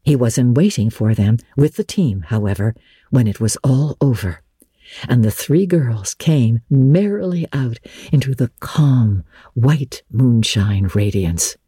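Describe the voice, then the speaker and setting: A woman narrating classic literature as a dramatic storybook reading, measured and expressive, lingering on phrases. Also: very deep voice, slightly rough, dry recording, studio quality.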